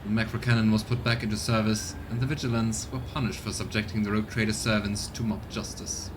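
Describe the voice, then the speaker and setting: A man's voice: on the low side, fairly even intonation, quick pace, background noise, natural voice. A man reading scripted narration aloud as the solemn narrator of a grim space game.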